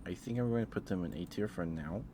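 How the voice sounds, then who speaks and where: Deep-voiced man in a casual ASMR livestream. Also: fast talker, noisy background. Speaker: livestream host.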